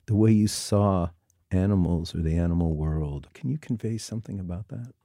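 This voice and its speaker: A man hosting an interview podcast, reading a measured introduction and asking thoughtful questions. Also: low voice, mellow, no room sound, clean studio sound. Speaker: podcast host.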